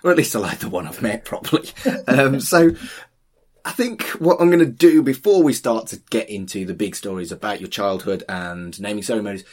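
Lively husky podcast host. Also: male voice, fairly low pitch, a little fast. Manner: enthusiastic, playful. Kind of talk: casual interview.

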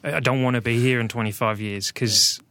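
New Zealand man, 30s, interview guest, easygoing delivery, mid pitch, dry close mic. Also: fairly quick, no room sound. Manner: relaxed, many ums. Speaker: podcast guest. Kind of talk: casual interview. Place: studio.

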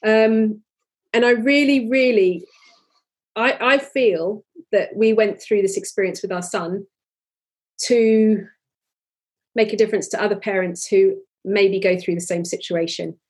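A woman's voice: mid-range voice, fairly even intonation, a little slow, breathy edge. A woman in her forties, a podcast guest, talking earnestly and openly with many restarts. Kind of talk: candid conversational interview.

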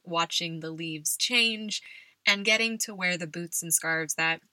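A woman's voice: lowish voice, unhurried, bright, forceful tone, dry recording. A young adult woman from California hosting a podcast, telling personal stories conversationally and laughing often. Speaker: podcast host.

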